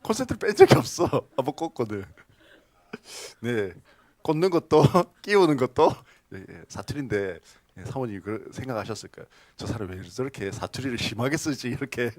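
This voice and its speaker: A man preaching in church, his pitch rising and falling with lively emphasis as he tells homely stories. He tosses questions to the congregation and laughs at his own asides. The sound is close and dry. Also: normal speed, dry recording. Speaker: pastor. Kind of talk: sermon. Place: church sanctuary.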